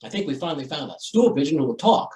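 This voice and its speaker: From off the mic in an echoey room, a man acts an exasperated newspaper editor in an old radio play. He blusters with heavy sarcasm, his pitch rising and dropping dramatically. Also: very animated, quick pace, darkish tone, distant mic. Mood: harried alarm. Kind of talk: old-time radio comedy-thriller acting.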